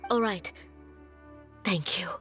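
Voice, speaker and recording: Worried, pleading villager over music. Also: female voice, mid-range voice, slow, sharp tone. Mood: worried, earnest. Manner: pleading, earnest. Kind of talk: video game dialogue acting. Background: clear game background music.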